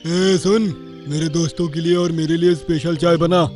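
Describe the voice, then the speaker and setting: An actor playing a sly, arrogant wolf villain with a swaggering, mocking manner in a children's cartoon. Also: male voice, on the high side, steady tone, moderate pace, a little mellow, faint music behind, close to an everyday voice. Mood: menacing swagger. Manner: swaggering, mocking, chuckling slyly. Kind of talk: Hindi children's cartoon voice acting.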